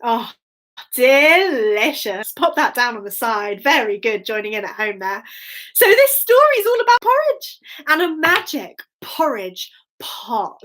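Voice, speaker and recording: High-pitched, animated woman telling children's stories. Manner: expressive, encouraging, sing-song, dramatic pauses. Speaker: children's storyteller. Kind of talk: interactive bedtime storytelling for young children.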